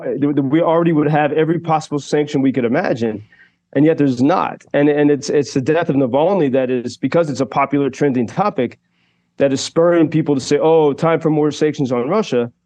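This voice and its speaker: A man's voice: a little high, a little mellow. A man reporting live for a radio show, talking thoughtfully with many fillers and restarts. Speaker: radio correspondent. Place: Kyiv.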